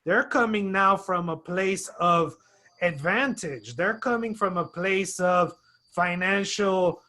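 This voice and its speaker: A man's voice: high voice, slow, sharp tone. A man hosting a real estate webinar, talking emphatically and persuasively. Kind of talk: webinar conversation.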